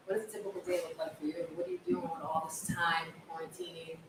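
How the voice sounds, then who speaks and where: An interview host, effusive and laughing, with quick asides and shifting volume. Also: mid-range voice, level tone, fairly bright tone. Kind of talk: casual interview. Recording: echoey room, distant mic, faint noise.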